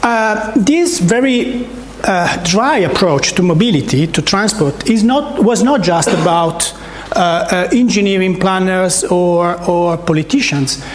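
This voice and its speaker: High-voiced Italian man lecturing in a roomy hall. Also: expressive, measured pace, slight background noise. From Italy. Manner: hesitant, many fillers and restarts, repeated words. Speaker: academic speaker. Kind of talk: research talk. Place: seminar room. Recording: echoey lecture room.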